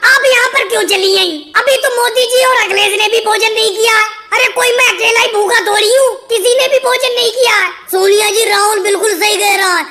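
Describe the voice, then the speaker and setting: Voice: extremely high pitch, steady tone, brisk, hardly any pauses, pushed and bright, exaggerated voice. A performer voicing a squabbling male cartoon caricature in a whiny, emphatic comic dialogue style. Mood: argumentative. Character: pitched-up cartoon caricature of a squabbling male politician schoolboy or labourer.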